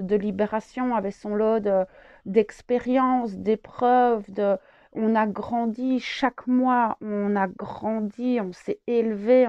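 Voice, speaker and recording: A woman's voice: mid-range voice, smooth, lo-fi sound. A French woman with a head cold, channeling a spiritual message, hesitant with many fillers and repeated words.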